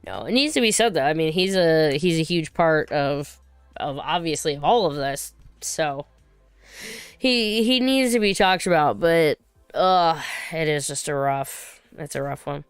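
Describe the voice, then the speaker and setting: A woman's voice: deep voice, lively intonation, a little slow, clean tone, dry recording, soft background music. A woman hosting a casual livestream, rambling and chatty as she reads viewer comments, her voice still recovering from being sick. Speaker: livestream host.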